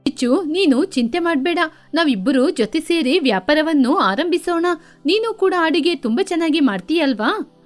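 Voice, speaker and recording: A woman voicing chatty, thrifty female village birds in an expressive children's cartoon style. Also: high voice, moderate pace, soft background music. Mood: earnest.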